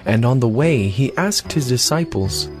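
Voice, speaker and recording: A man's voice: medium pitch, animated, unhurried. A man giving scripted, dramatic narration of scripture for an audio drama. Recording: music behind the narration.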